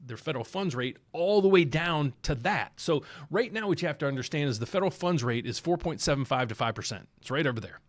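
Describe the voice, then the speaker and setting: A man with a Southern accent hosting a mortgage channel, talking casually through market charts and repeating himself as he goes. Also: a little high. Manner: rambling, repeats words, talks through charts on screen.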